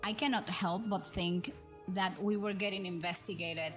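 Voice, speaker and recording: A woman's voice: medium pitch, fairly even intonation, moderate pace, fairly bright tone. A woman live streamer chatting casually and thinking aloud while she plays a game. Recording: music playing behind the voice. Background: background music.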